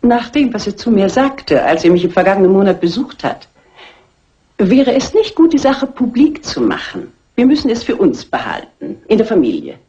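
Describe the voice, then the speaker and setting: An actress playing an eccentric older aunt in a dubbed crime film, artless and insinuating. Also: female voice, mid-range voice, wildly swooping pitch, normal speed, warm tone. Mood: innocently insinuating. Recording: dull, noisy old film soundtrack.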